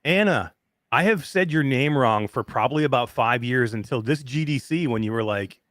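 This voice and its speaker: A man of fifty hosting a live show in casual chat, reading out greetings with excitement. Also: mid-range voice, moderate pace, no echo, clean studio sound. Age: 50s. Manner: excited, animated chat shout-outs. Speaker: host.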